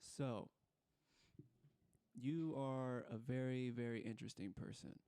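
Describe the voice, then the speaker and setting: A man's voice: mid-range voice, steady tone, slow pace, warm tone, clear, resonant tone, no echo. A man hosting a casual interview podcast, talking in a laid-back, slangy way. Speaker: podcast host.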